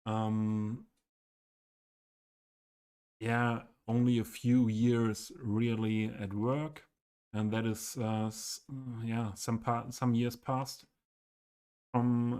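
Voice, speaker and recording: A man's voice: medium pitch, flat delivery, mellow, clean tone. A man with a Western German accent, a native German speaker streaming live and talking through his city build, hesitating often and searching for words. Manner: halting, many ums, searching for words. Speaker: game streamer. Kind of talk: casual live stream commentary.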